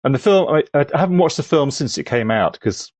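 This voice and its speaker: A man's voice: fairly high pitch, big pitch swings, brisk, a little mellow, no room sound. A man talking casually and analytically as a podcast panellist, thoughtful and a little hesitant.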